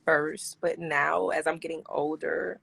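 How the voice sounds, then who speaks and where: A woman's voice: low-pitched, level tone, unhurried, gravelly, fairly bright tone, husky. A woman in her twenties from South America, calling in and answering hesitantly with many fillers. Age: 20s. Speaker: caller.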